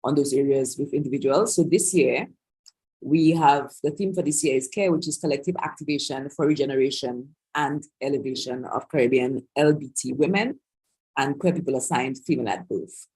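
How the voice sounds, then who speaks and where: A woman's voice: a bass voice, normal speed, darkish tone, husky, poor audio. A woman organiser hosting a live online Q&A on a video call, talking loosely with many restarts and asides. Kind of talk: live-streamed online Q&A talk.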